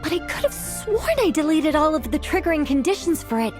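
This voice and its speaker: High-voiced, formal angel. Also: female voice, lively intonation, a little fast, soft background music. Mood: apologetic. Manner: courteous, formal. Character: polite, formal female angel, earnest and easily flustered. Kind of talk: video game character acting.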